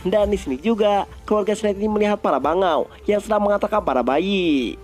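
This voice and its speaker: A man's voice: high-pitched, a little fast, soft background music. A man with an Indonesian accent narrates a film recap in a casual style.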